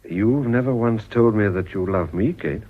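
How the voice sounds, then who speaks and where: An actor with an English accent playing an earnest, ambitious Edwardian politician in a period radio drama. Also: male voice, fairly low pitch, normal speed, lightly acted. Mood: tender, pleading. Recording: dull, slightly muffled old radio recording.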